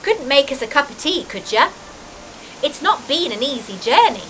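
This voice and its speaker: A woman's voice: sits high, big pitch swings, normal speed, fairly bright tone, pitched above the performer's own voice, low-quality recording. Lady doing a blunt friendly giant guy from a storybook, folksy talk, bit of hiss. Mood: friendly, warm. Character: gruff, friendly giant man with a rustic way of talking. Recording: hissy background noise.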